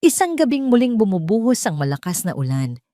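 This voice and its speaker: A woman's voice: mid-range voice, sing-song, a little fast, mellow, dry recording, studio quality. A woman with a Filipino accent narrating a dramatic story in a solemn, emotive, scripted style.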